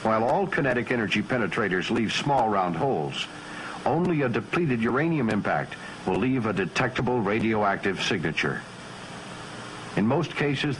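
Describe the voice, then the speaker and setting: An American man narrating a military training film in a formal, scripted style, measured, precise and matter-of-fact. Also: on the low side, fairly bright tone, a little hiss or hum, rough recording.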